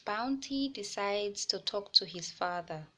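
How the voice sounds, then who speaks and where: A woman hosting a children's read-aloud, narrating a storybook with deliberate phrasing for young listeners. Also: mid-range voice, animated, pushed and bright.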